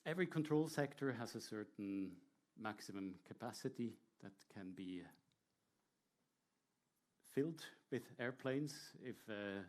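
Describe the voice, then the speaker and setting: A man presenting a technical talk to an audience in a measured, explanatory way, with short hesitant pauses. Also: medium pitch, expressive. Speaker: air traffic control presenter.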